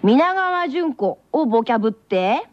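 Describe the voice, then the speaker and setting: A woman's voice: high voice, sing-song, unhurried, faint music behind. A woman co-hosting a radio show in casual chat, playful and agreeable. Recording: muffled lo-fi radio recording.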